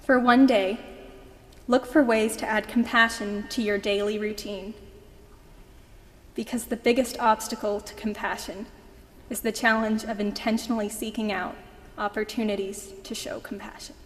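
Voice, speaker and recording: A woman's voice: medium pitch, little pitch movement, normal speed. A young woman delivering a prepared homily in a church. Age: young adult. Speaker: youth speaker giving a homily. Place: large church sanctuary. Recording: hall reverb.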